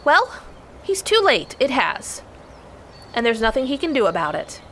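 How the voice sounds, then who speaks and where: A woman's voice: fairly high pitch, wildly swooping pitch, normal speed, fairly bright tone, slight background noise, exaggerated voice. A woman playing a defiant, sassy girl heroine from Kansas in an audio drama, speaking in a feisty, emphatic way. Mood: bold, defiant. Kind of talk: audio drama acting and first-person narration.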